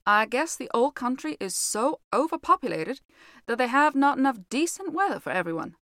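Woman doing a calm, wry American gentleman. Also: high-pitched, moderate pace, dry recording, clean studio sound, pitched above the performer's own voice, heavily acted. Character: American gentleman, a calm, dry-humoured minister.

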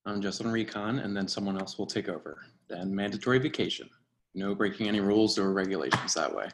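A man acting a dry, by-the-book surveillance agent and mentor in a screenplay reading, with a measured, instructive delivery. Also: lowish voice, a little hiss or hum, close to an everyday voice. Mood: businesslike.

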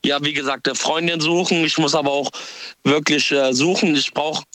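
A young man calling in to a radio show, talking haltingly with many pauses and fillers. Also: fairly high pitch, fairly even intonation, bright, forceful tone, dry recording. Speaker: caller. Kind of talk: phone-in chat.

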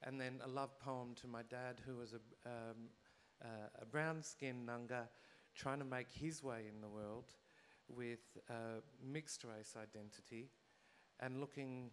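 A man from Australia, a theatre artist speaking on a panel in a reflective, measured way with pauses. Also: mid-range voice, fairly even intonation, slight background noise. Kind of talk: panel discussion.

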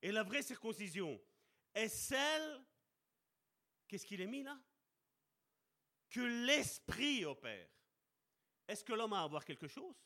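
A man preaching a sermon with passion, slowing down to stress key words and calling out to his brothers and sisters. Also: high-pitched, sing-song, pushed and bright. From Italian heritage. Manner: impassioned, addresses congregation as brother and sister.

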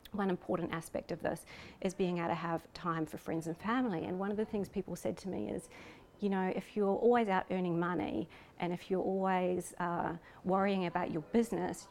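A woman's voice: on the low side, a little mellow, slight background noise. A woman with a New Zealand accent, speaking thoughtfully and hesitantly as an interviewed researcher, with frequent you-knows. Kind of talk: reflective interview talk.

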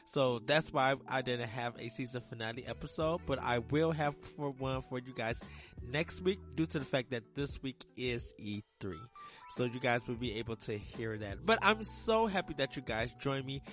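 A man hosting a casual solo gaming podcast, a little hoarse from a sore throat, rambling loosely with many ums and uhs. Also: mid-range voice, lively intonation, normal speed, fairly bright tone, soft background music. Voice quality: raspy. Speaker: podcast host.

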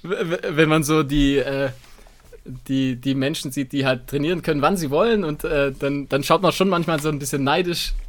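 A man's voice: fairly high pitch, fairly even intonation, moderate pace. A man co-hosting a casual podcast chat, speaking in a hesitant, jokey way. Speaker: podcast co-host.

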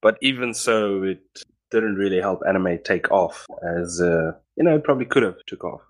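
Low-voiced South African man, halting. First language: Afrikaans. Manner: halting, with frequent restarts. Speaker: guest. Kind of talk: casual podcast chat.

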